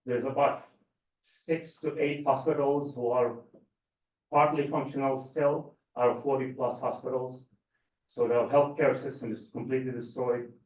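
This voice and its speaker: A man's voice: mid-range voice, darkish tone. Earnest man speaking from a distance. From Gaza Strip. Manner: earnest, measured with frequent ums. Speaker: panel speaker. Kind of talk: panel talk. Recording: roomy, distant, dull sound.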